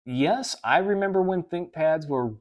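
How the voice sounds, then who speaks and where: A man's voice: fairly high pitch, expressive, unhurried. A man with a Southern US accent hosting a casual tech livestream, rambling, correcting himself and reading viewer chat aloud. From American South. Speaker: livestream host. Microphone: desk microphone.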